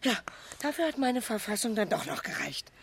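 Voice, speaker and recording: A woman's voice: medium pitch, big pitch swings, brisk, creaky, a little hiss or hum. An actress playing a stressed, combative wife and mother in her forties, colloquial and agitated, in a naturalistic radio drama. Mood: bitter, sarcastic. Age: 40s.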